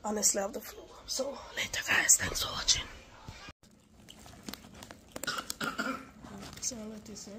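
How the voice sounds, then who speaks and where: A woman's voice: medium pitch, a little slow, pauses often, whispered. A young woman with the flu, stuffy-voiced, patiently coaxing her child through homework at home. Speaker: mother and vlogger. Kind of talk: casual home vlog, helping a child with homework.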